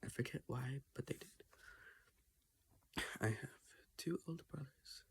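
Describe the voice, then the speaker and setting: A teenager talking casually in a personal vlog from their bedroom, halting with restarts and often dropping to a half-whisper. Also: medium pitch, a little mellow, slightly rough. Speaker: vlogger. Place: own bedroom, indoors.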